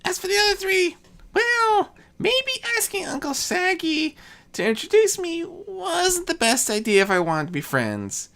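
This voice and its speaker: Woman voicing a high, swooping young male chaos trickster. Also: very animated, unhurried, fairly bright tone, full character voice. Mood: offhand boasting. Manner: grumbling, offhand bragging. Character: mischievous boastful young male chaos spirit, son of a prince. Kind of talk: fan fiction story reading.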